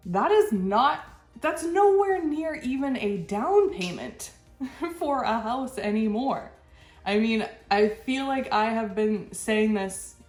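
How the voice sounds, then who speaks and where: A woman's voice: fairly low pitch, darkish tone. A woman hosting a reaction commentary, sounding stuffy from a cold, talking frankly and with exasperation, with short laughs between her points. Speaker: channel host. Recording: echoey room with faint music.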